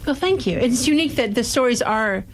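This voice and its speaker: A woman's voice: fairly high pitch, lively intonation, a little fast. A woman talking casually as a radio interview guest about performing.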